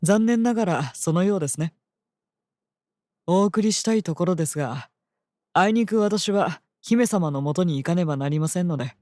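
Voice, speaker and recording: A composed knight, voiced by an actor with a mid-range pitch, speaking with formal courtesy and calm devotion. It is fantasy audio drama acting, recorded close and dry. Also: moderate pace, dry recording, natural voice. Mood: composed, courteous.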